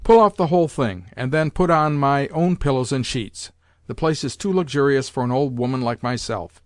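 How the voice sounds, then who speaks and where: A man's voice: mid-range voice, normal speed, no echo, studio quality, lightly acted. A man reading a novel aloud, voicing an imperious old grandmother who bluntly scolds and gives orders. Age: older. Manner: commanding and blunt, hurling insults. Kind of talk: audiobook character voice.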